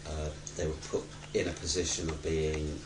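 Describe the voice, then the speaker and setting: A man speaking in a documentary interview, talking in a measured, halting way. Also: rumbling low, little pitch movement. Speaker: charity worker in an LGBT documentary interview. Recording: roomy echo, distant mic, clear background noise.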